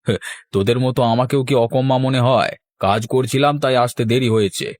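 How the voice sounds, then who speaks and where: A stingy cartoon husband, played by a man, grumbles and scolds in a mid-pitched voice. He is sarcastic and always ready to argue. The sound is close and dry, with no room around it. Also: fairly quick, a little mellow, dry recording, lightly acted. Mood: grumbling. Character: stingy, grumbling married man who loves free feasts. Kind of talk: Bengali cartoon voice acting.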